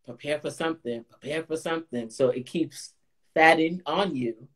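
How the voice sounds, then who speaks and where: Lively Guyanese woman coaching on a call. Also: normal speed. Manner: animated, coaching, jumps into high playful voices. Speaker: host, plant-based chef. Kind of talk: live Q&A chat.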